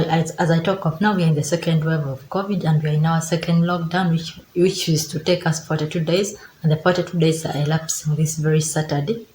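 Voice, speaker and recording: Low-voiced Ugandan woman vlogging in an echoey room. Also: darkish tone, a little hiss or hum. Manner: chatty, repeats herself, reads from notes. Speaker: travel vlogger. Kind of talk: direct-to-camera travel advice vlog. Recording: echoey room with faint ambience.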